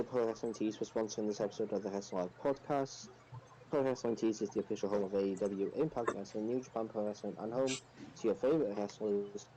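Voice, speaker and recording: A man with a lowish, soft-toned voice on a dull lo-fi mic with faint noise. He co-hosts a podcast, moving between casual chat and informal sponsor reads. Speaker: podcast co-host.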